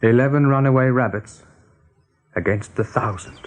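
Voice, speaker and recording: A man playing a steady, caring but worried rabbit leader in a radio play, sober and protective in manner. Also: mid-range voice, normal speed, close to an everyday voice. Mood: grave.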